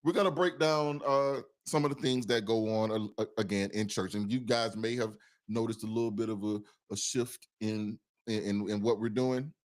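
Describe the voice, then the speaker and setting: A man's voice: lowish voice, fairly even intonation, normal speed. Easygoing man co-hosting a podcast. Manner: loose, repeats and restarts phrases. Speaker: podcast co-host. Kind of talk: casual podcast chat.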